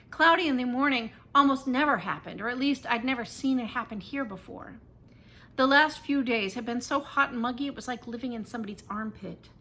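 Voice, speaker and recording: A woman with a fairly high voice reads a children's story in the first person. Her delivery is animated and dramatic, leaning on key words. There is noticeable low, rumbling background noise in the recording. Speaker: author reading aloud.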